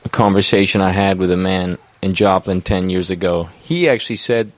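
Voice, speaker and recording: Man, very deep voice, unsure, recounting a past conversation, dull lo-fi recording. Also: fairly quick, no room sound. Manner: uncertain, recounting hearsay. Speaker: church member sharing. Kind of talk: unscripted church discussion. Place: room with a group. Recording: dull lo-fi sound.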